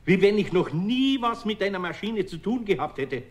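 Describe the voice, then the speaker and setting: An actor playing a good-natured, harried older master carpenter with a Bavarian accent in a children's radio play, homely and grumbling. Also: male voice, high-pitched, expressive, moderate pace. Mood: flustered, relieved. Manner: homely, grumbling, chuckling indulgently.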